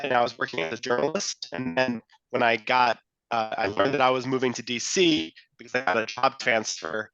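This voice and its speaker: A young man telling his story in a relaxed, conversational way on a video call. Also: mid-range voice, smooth, highs cut off. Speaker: fellowship member.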